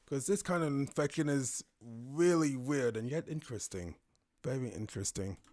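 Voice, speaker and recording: A man with a medium-pitched voice reacts casually as he listens. He comments off the cuff and muses aloud, working through his thoughts as they come. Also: moderate pace, darkish tone. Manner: musing, thinks aloud.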